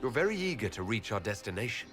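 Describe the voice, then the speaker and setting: A man's voice: fairly low pitch, very animated, fast talker, fairly bright tone, long reverb tail, soft background music. A man playing a wary, questioning elven leader in a video game, direct and controlled as he probes. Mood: suspicious. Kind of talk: dramatic video game dialogue.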